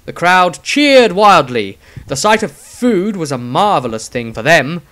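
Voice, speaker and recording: A man's voice: fairly high pitch, big pitch swings, measured pace, a bit bright. A man reading scripted fantasy narration as a storyteller, in a solemn, theatrical manner. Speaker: author narrating own story.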